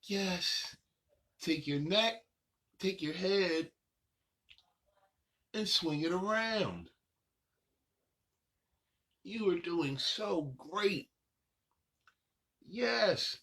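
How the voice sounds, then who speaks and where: A man hosting a children's session, guiding movement with encouraging, drawn-out instructions. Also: high-pitched, sharp tone. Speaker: children's show host leading warm-up exercises.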